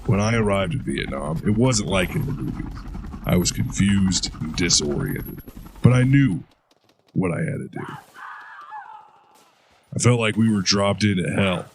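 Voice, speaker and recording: A man's voice: sits low, expressive, unhurried, long pauses, noisy background. A man playing a hardened veteran soldier who talks in a blunt, profane way. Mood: gruff, recounting. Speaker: interrogated war veteran. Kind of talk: audio drama acting.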